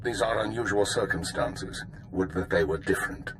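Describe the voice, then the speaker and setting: An actor playing a dutiful, restrained intelligence chief in a game cutscene, formal and guarded. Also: male voice, low voice, normal speed, noisy background, lightly acted. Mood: formal, cautious. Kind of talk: video game cutscene acting.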